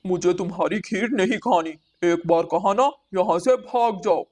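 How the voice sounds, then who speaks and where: A woman's voice: lowish voice, normal speed, darkish tone, close to an everyday voice. A woman voicing a wary, protective crow husband in a children's cartoon story, speaking in an urgent, scolding and pleading way. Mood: suspicious, scolding. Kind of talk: children's animated story dialogue.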